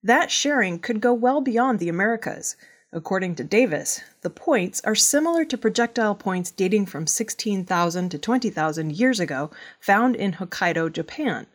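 A woman working as a news reader, narrating scripted news stories. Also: mid-range voice.

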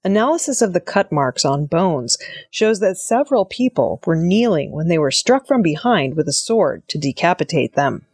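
A woman with a fairly low voice reads prepared news stories in a measured, formal way. The recording is dry and close, with little sense of a room around her. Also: sing-song, a little mellow, breathy edge, no room sound. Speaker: news reader. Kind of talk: scripted news narration.

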